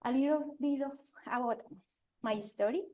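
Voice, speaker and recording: A woman's voice: high-pitched, mellow, lo-fi sound. Colombian-accented woman presenting to an audience. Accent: Colombian Spanish. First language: Spanish. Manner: earnest, halting, with restarts and fillers. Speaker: architect giving a talk. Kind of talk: conference presentation. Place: lecture venue with audience.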